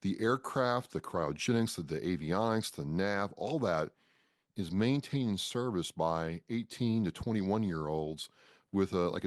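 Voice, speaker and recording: A man's voice: low voice, no room sound, clean studio sound. An American man hosting a podcast interview, talking in an enthusiastic, informal way and readily jumping in. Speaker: podcast host.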